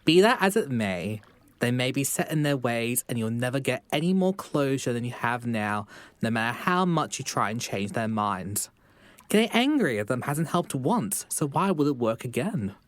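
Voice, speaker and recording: Frog therapist, played by a man: professional and reassuring, lively intonation, dry close sound, audio fairytale. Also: mid-range voice, normal speed, no echo, close to an everyday voice. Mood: measured, frank. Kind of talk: audio drama dialogue.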